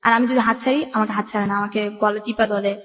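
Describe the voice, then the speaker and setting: A woman's voice: medium pitch, steady tone, fast talker, fairly bright tone. A young woman with a colloquial Bangladeshi accent telling a personal story as a radio guest, in run-on everyday speech, recorded with an echoey reverb. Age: young adult. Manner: colloquial, run-on recounting with quoted dialogue. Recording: echoey room with long reverb.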